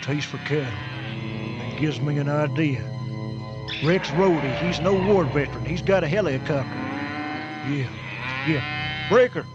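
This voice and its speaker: A man's voice: fairly high pitch, unhurried, pauses often, muffled recording, natural voice. A man playing a lazy, easily flustered small-town sheriff, folksy and grumbling, in radio comedy style. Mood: urgent, planning. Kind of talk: old-time radio comedy acting. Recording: loud music behind the voice.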